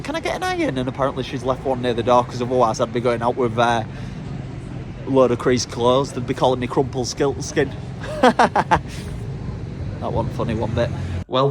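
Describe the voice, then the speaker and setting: A man's voice: mid-range voice, slightly rough. A man talking casually to camera as a travel vlogger, chatty and rambling with self-mocking humor.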